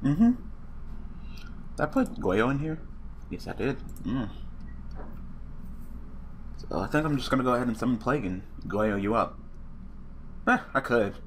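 A young man with a hoarse, worn-out voice commentating a card game online, swearing and ranting casually. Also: mid-range voice, a little slow, long pauses, a little hiss or hum. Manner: profane, rambling, excitable rants. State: hoarse, losing his voice. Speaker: gaming channel host.

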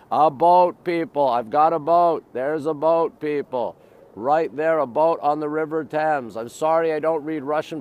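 A middle-aged man from Montreal with a North American accent, chatting casually as a live-stream host in short, repetitive, exclamatory bursts. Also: fairly high pitch. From Montreal, Canada. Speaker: live-stream host showing city sights. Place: outdoors on an urban riverside.

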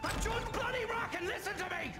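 An actor playing a blustering aristocratic pilot who shouts indignantly, in an echoey space. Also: male voice, very high-pitched, a little fast, loud music behind the voice. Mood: furious. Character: blustering aristocratic male pilot, furious, cheated.

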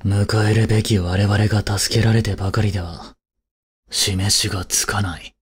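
An actor playing a cold, haughty young prince in an audio drama, with a husky, breathy voice and a curt, imperious manner. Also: male voice, lowish voice, level tone, normal speed. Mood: stern, scolding. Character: cold, haughty young prince scolding and fretting over his maid. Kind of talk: Japanese audio drama acting.

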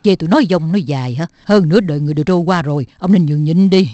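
A woman's voice: low voice, racing, mellow, natural voice. A woman voicing a caring elderly grandmother in a cartoon, talkative and fondly nagging her husband. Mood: fondly chiding. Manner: chiding, talkative. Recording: dull, roomy dubbed cartoon audio.

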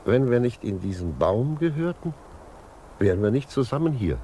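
An actor playing a gentle, philosophical old judge in a 1950s German radio play, reflective and earnest in manner. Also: male voice, medium pitch, sing-song, normal speed, close to an everyday voice. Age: older. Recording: 1950s radio recording, faint noise.

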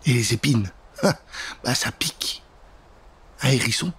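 Husky male storyteller, theatrical and suspenseful. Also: medium pitch, a little slow, a bit bright. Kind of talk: dramatic children's Halloween story narration. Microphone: studio microphone with pop filter.

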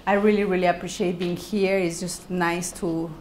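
A woman speaks in a halting, friendly lecture style, often repeating her words. The sound bounces around a classroom, and a faint hiss sits beneath it. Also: on the low side, level tone, a little mellow. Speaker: guest speaker, professor. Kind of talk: academic lecture. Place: university classroom. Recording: echoey classroom, background hiss.

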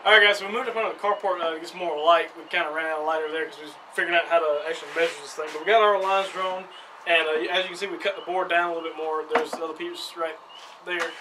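A man's voice: a little high, fairly even intonation, very fast, far from the mic, over music, poor audio. A young man hosting a casual outdoor how-to vlog, chatty and upbeat with frequent "uh" fillers, recorded in a yard and an open carport on a camera mic. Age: young adult. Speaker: outdoor channel host.

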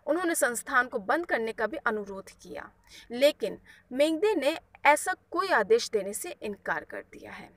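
Woman doing a scripted news voiceover, kinda high voice, dry sound but a bit off the mic. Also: big pitch swings, normal speed, fairly bright tone, no room sound, distant mic. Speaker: news presenter.

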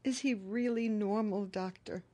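A woman's voice: medium pitch, fairly even intonation, unhurried, dark tone, no room sound, highs cut off, natural voice. An actress playing an anxious, fussing mother of grown children in a radio drama.

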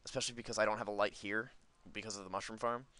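Guy rambling through a casual let's play, lowish crisp voice, thinks out loud as he goes. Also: steady tone, very fast, no room sound. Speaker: gaming video host.

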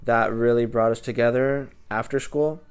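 A man in his twenties speaking calmly and in measured terms in a documentary interview. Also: medium pitch, clean tone. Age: 20s. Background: music.

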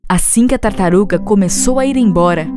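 A woman's voice: medium pitch, fairly quick. Woman narrating a children's story over music. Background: music behind the voice.

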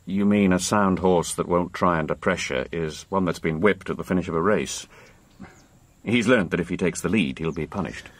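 An actor playing a genial, confident gentleman racehorse trainer in a radio drama, speaking with well-mannered authority. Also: deep voice, normal speed, dry recording. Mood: thoughtful, keen.

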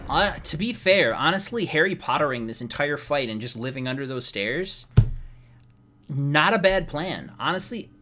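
A slightly hoarse man giving casual, rambling gameplay commentary as a let's play host, sounding wry and exasperated. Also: a little high, lively intonation, moderate pace, bright, forceful tone, slight background noise. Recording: game music behind the voice. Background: video game music.

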